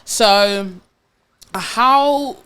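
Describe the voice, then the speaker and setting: A woman's voice: a little high, very slow, a crowd in the background. A woman, chatting casually as a podcast co-host. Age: 20s. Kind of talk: casual chat.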